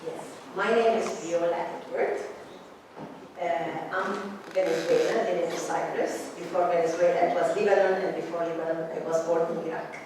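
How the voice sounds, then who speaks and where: A woman's voice: fairly low pitch, steady tone, moderate pace. A middle-aged woman, a psychotherapist and mentor, giving an earnest, reflective conference talk. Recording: echoey hall, mic far off, background noise.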